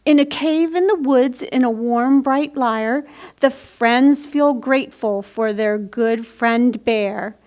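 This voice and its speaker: Slow, high-voiced woman leading storytime. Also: darkish tone. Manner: simple, careful explaining for young children. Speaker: library storytime host. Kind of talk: children's picture-book reading and craft instruction.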